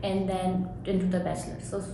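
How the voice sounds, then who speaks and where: A middle-aged woman from India, a college professor, answering interview questions in a loud, animated way and laughing often. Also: on the low side, a little fast, vocal fry. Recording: echoey room with background noise.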